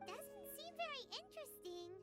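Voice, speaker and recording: A woman's voice: extremely high pitch, sing-song, moderate pace, over loud music. A woman voicing a tiny, chirpy and bossy flying fairy companion in a video game. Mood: curious, bossy. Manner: chirpy, exclamatory, refers to self by name. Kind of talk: video game character acting.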